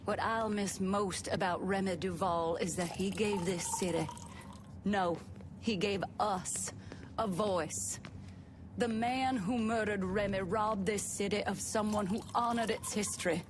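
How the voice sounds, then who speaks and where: A woman's voice: mid-range voice, unhurried, noisy background, lightly acted. An actress playing a commanding, formal Southern society woman in a game drama, with an American Southern accent. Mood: solemn, rousing. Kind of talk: dramatic video game acting, formal speech. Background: music.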